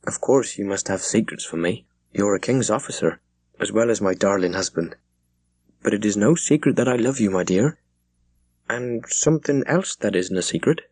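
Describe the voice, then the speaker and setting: A man's voice: fairly low pitch, normal speed, darkish tone. A man voicing a tender, affectionate young wife of a naval officer in an audiobook. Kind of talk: audiobook character voice.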